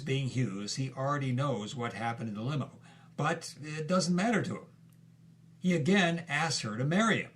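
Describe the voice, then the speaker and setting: Mid-pitched man narrating dramatically. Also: fairly bright tone. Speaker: podcast narrator. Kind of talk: present-tense storytelling narration.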